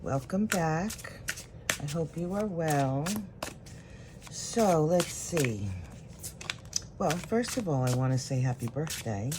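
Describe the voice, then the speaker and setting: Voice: medium pitch, animated, background noise. A tarot reader giving a solo reading straight to the viewer, thinking aloud while drawing cards. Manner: unhurried, thinking aloud as cards are drawn.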